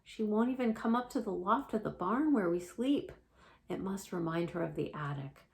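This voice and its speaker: A kindly storybook cat with wings, voiced by a woman reading aloud, talks like a motherly big sister. She explains things gently and earnestly, at a medium feminine pitch. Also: normal speed, creaky, close to an everyday voice. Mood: earnest, explaining.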